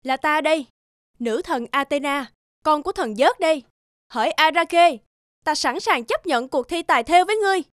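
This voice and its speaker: Commanding goddess, high clear authority. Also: female voice, lively intonation, normal speed, dry recording, studio quality. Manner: dignified, authoritative. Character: proud, commanding goddess, stern but fair. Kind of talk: animated fairy tale dubbing.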